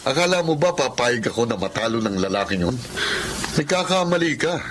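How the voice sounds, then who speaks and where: A man's voice: medium pitch, sing-song, brisk, background noise, lightly acted. A Filipino man playing a pushy, jealous young suitor in a radio drama, insistent and taunting. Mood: angry, scornful.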